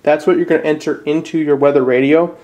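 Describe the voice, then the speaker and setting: Flat-toned young Midwestern American man. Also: fairly high pitch, fast talker. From northern Illinois, USA. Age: young adult. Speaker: amateur how-to presenter. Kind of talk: casual step-by-step tutorial. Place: indoors at a desk.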